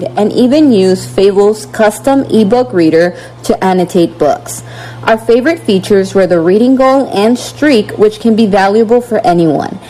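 A woman reading a scripted app review segment in a smooth, even delivery. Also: mid-range voice, rough recording.

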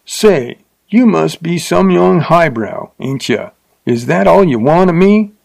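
A man's voice: a little high, expressive, slow, creaky, a little mellow, dry recording, clean studio sound, voice pushed up, full character voice. A man voicing a crude, drunken frontier rider with a coarse rustic drawl. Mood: leering.

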